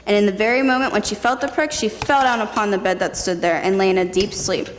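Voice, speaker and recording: A woman's voice: medium pitch, fairly even intonation, quick pace. A woman reading a scripted fairy tale as the narrator of a readers theatre performance. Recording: noisy hall with long reverb.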